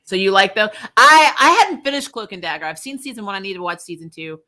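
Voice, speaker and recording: A woman with a very bright, forward voice at an average pitch. She talks casually as a host answering comments, blunt and emphatic, with a readiness to laugh. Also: lively intonation, quick pace. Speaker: host of a fan review show.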